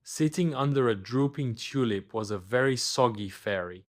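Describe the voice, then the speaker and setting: A man's voice: medium pitch, moderate pace. A man reading a scripted children's bedtime story as its narrator.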